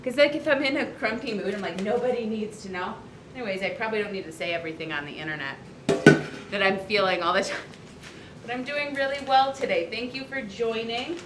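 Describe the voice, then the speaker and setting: A woman hosting a casual live cooking stream from her home kitchen, chatty and upbeat, with a loud teacher voice, picked up by a camera across the room. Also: medium pitch, brisk. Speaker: cooking livestream host and coach. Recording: echoey kitchen with faint noise.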